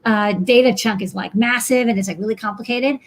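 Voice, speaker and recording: A woman's voice: medium pitch, fairly quick. A woman hosting a casual live show, chatting informally with guests and encouraging them. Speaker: show host. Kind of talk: casual live chat, introducing and reacting to guests.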